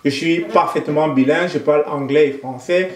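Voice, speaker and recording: Measured man in an echoey room. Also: on the high side. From Cameroon. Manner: thoughtful, measured, with frequent hesitations. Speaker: interview guest. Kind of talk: conversational interview.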